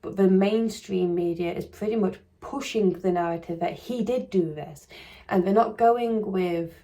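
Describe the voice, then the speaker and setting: A woman with a croaky voice coming on, casually commenting on a true crime case in a rambling, hedging way with frequent restarts. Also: medium pitch, measured pace, warm tone.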